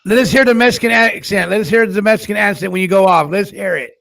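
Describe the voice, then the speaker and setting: A man hosting a live stream, chatting loosely and loudly, coaxing his guests and repeating himself. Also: high-pitched, fast, creaky. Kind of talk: casual live stream chat.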